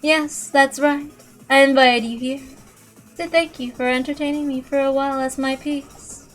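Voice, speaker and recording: A woman acts out an imperious witch from a visual novel. She is cold and condescending, speaking deliberately in a high feminine voice with music behind. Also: fairly even intonation, lightly acted. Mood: coolly taunting. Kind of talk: dramatic visual novel reading.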